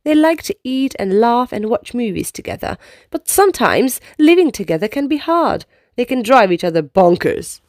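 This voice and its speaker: High-pitched, animated woman storyteller. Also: normal speed, dry recording, studio quality. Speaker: children's book reader. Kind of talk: expressive storybook narration.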